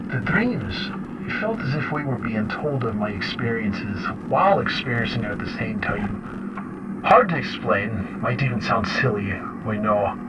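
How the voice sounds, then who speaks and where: A man playing a haunted fugitive, confiding his nightmares in a brooding audio drama journal. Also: lowish voice. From Ireland. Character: haunted Irish man on the run, wryly recording his nightmares. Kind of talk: first-person audio drama journal narration. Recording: distant, dull lo-fi, heavy noise.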